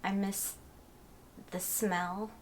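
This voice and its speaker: Measured woman with an even voice. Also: medium pitch, slight background noise. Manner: measured, with frequent um pauses. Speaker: interviewee. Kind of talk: reflective personal testimony.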